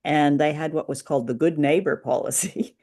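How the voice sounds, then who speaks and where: A woman co-hosting an unscripted discussion, explaining things informally in a tentative way with frequent pauses. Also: deep voice, fairly even intonation, mellow. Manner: tentative, pausing explanatory delivery. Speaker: podcast co-host. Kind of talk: unscripted discussion of old-time radio drama.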